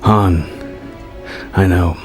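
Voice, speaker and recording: A man's voice: deep voice, fairly even intonation, drawn-out words, a little mellow, faint music behind, voice pushed down. A man voicing a seasoned, wry Jedi master who mentors with assurance in a dramatized story reading. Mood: wry, wistful.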